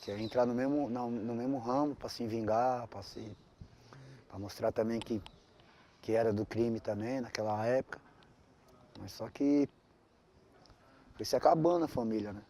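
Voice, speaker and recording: A man tells his life story in a documentary interview. He speaks haltingly, often restarts his phrases and ends many of them with tag questions. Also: medium pitch, dark tone, slight background noise. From São Paulo, Brazil. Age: 40s.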